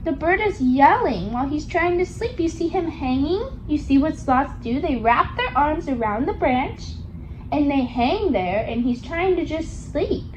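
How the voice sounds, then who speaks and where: Woman, very high voice, unhurried storybook read-aloud, child-directed questions, sing-song coaxing, faint background noise. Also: expressive, a little mellow, dull audio. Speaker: storybook reader for a young child.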